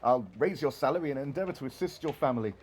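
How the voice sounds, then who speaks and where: An actor playing an elderly reformed miser in an audio drama, with theatrical, exclamatory Victorian speech. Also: male voice, medium pitch, rapid-fire, darkish tone, a little hiss or hum, lightly acted. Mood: jubilant. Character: elderly reformed miser, giddy with joy and eager generosity.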